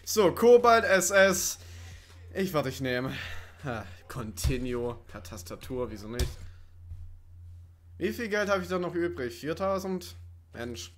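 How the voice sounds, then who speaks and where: A man's voice: fairly high pitch, sing-song, measured pace, pauses often, fairly bright tone. A man giving casual let's play commentary in a wry, sarcastic, joking way.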